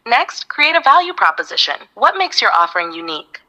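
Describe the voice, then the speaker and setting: A woman's voice: fairly high pitch, big pitch swings, brisk, pushed and bright, poor audio. A synthetic woman's voice of an AI assistant, giving upbeat, polished step-by-step advice. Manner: upbeat, polished, evenly paced listing. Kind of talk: scripted advice reading.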